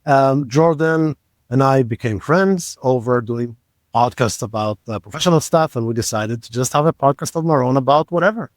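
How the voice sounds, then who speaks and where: Brief: Israeli man, 30s, podcast co-host, easygoing and halting, mid pitch, dry booth sound. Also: dry recording. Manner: halting, many fillers and restarts, joking. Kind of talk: casual chat.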